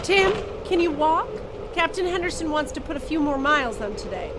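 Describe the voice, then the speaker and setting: A very high-voiced, firm woman leader. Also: very animated, brisk, very noisy. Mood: commanding. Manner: earnest, commanding. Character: firm, caring female expedition co-leader. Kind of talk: audio drama acting.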